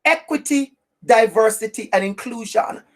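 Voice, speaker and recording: Jamaican woman, equity trainer, slightly high voice, emphatic and repetitive delivery. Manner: emphatic, preacherly, with deliberate repetition. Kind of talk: webinar presentation.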